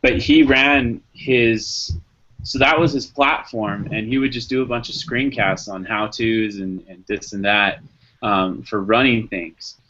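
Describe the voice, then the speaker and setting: Bright-voiced man on a dull video call. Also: mid-range voice. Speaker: discussion facilitator, educator. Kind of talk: casual online group chat.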